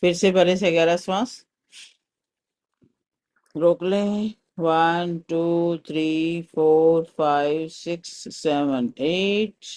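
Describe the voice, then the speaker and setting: A man guides a meditation in a fairly high voice. He speaks very slowly and calmly, giving soothing instructions like a teacher leading a session. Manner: calm, soothing, unhurried instruction. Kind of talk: guided meditation with breath counting and mantra chanting.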